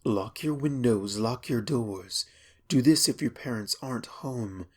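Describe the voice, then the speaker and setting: A man playing a mysterious friend who gives slow, measured, ominous warnings in a husky voice for a horror story. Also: mid-range voice, a little mellow, close to an everyday voice.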